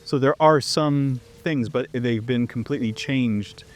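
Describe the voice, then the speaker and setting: Man, mid-pitched, animated guest telling stories in a casual interview. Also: unhurried. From Canada. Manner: animated storyteller who acts out quoted exchanges. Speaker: interview guest, foreigner living abroad.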